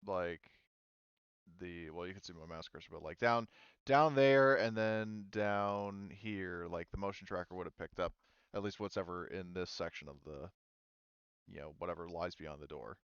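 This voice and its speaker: A man running a tabletop roleplaying game as game master, narrating off the cuff in a halting, casual, sweary way. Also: low voice, a bit bright, clean tone, dry recording, highs cut off.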